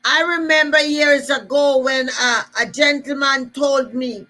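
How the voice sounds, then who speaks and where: A woman's voice: high voice, fairly even intonation, a little slow, bright, forceful tone. A woman with a Jamaican accent giving an emphatic, repetitive motivational talk to listeners. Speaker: motivational speaker.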